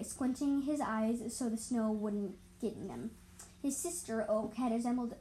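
A woman's voice: a little high, warm tone, slight background noise, low-quality recording. A woman reading animal stories as an amateur storyteller, stumbling over and repeating words and adding explanatory asides.

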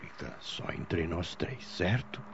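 An actor playing a kindly, ailing older father in a radio drama, speaking haltingly and gently. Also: sits low, expressive, gravelly, heavy background noise, exaggerated voice. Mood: weak, earnest. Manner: halting, stammering. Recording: dull lo-fi radio drama, music behind.

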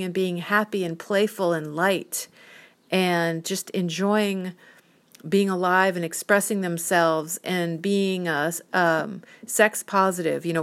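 A woman's voice: lowish voice, a bit bright. Dreamy fifty-year-old woman musing aloud. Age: 50s. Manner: dreamy, rambling, spiritual musings. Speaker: podcast host. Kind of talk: reflective solo monologue.

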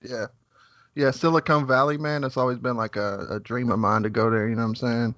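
A man in his mid-thirties hosts a podcast in a nervous, halting way, padding his talk with casual fillers. His voice sits at an ordinary pitch, and the recording is dry and close. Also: steady tone, fairly bright tone, dry recording, dull audio. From West Virginia, USA. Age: 30s. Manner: nervous, halting, lots of "man" and "you know what I'm saying". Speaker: podcast host. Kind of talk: casual interview.

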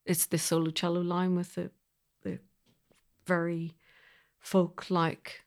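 Woman with a lowish voice, thoughtful interview chat, kind of working out her sentences as she goes. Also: monotone, slow, vocal fry. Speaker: composer, interview guest. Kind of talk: reflective interview conversation.

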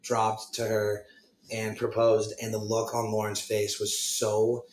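A man's voice: lowish voice, monotone, slow, slight background noise. A man as a podcast guest, telling a story casually with effusive, dramatic emphasis. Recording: roomy, echoey sound.

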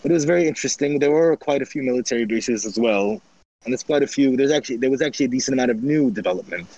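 Armenian-background man, measured travel recap with restarts, mid-pitch. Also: lively intonation, a little mellow, dry recording, muffled recording. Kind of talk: casual recounting of a trip.

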